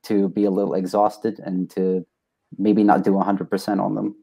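A young man from Sweden, a native Swedish speaker, giving casual, halting, modest answers as an interview guest. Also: deep voice, level tone, vocal fry, dark tone, breathy edge. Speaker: interview guest, test taker.

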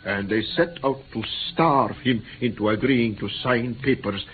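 A man's voice: medium pitch, normal speed, natural voice. An actor playing a shaken, earnest male interpreter who tells his ordeal hesitantly in a vintage radio drama. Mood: earnest recounting. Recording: tinny, noisy vintage radio recording.

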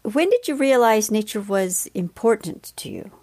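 Creaky-voiced woman interviewer. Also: mid-range voice, lively intonation, moderate pace, a little mellow. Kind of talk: interview, prepared questions with reflective remarks.

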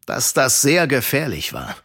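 A man's voice: medium pitch, huge pitch swings, measured pace, sharp tone, husky, full character voice. A man playing a guarded uncle who mentors children, in a children's audio drama. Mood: calm, considered.

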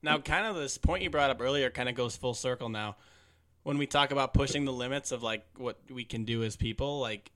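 A man hosting a podcast, asking his guest questions in an easygoing way. He keeps things moving with short acknowledging replies and speaks at an average pitch for a man. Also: brisk. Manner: relaxed, with frequent short backchannel replies. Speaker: podcast host. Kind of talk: casual interview questions.

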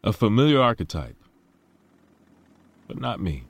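An actor playing a self-assured, idealistic tech billionaire in an audio drama, with smooth, reflective and formally phrased speech. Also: male voice, lowish voice, very animated, unhurried, no room sound, exaggerated voice. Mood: intrigued, assured.

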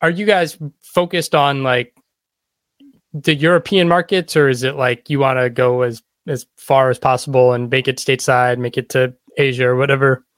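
A man's voice: mid-range voice, little pitch movement, moderate pace, a bit bright, no room sound, clean studio sound. A man hosting a podcast, asking questions in a casual, loosely phrased way. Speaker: podcast host. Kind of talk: casual interview chat.

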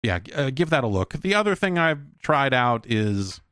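A man's voice: mid-range voice, very animated, normal speed, a bit bright, smooth, dry recording, clean studio sound. A man hosting a podcast, talking casually and conversationally on his own about video games, rambling with wry asides and acted-out imagined exchanges. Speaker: podcast host. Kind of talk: casual solo video game podcast talk.

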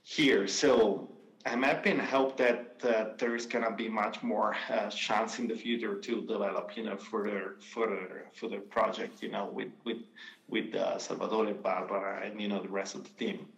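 A man's voice: on the low side, fairly even intonation, moderate pace, gravelly. A man with an Italian accent giving hesitant remarks at an event, full of "you know" and restarts. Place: event room. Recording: roomy echo.

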